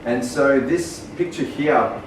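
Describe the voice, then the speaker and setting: A man's voice: mid-range voice, slow. Aussie guy presenting in an echoey room from far off, noisy background, lots of ums. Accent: Australian. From Australia. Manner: earnest, with many ums and restarts. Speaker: speaker. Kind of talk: public presentation. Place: small venue, far from the mic.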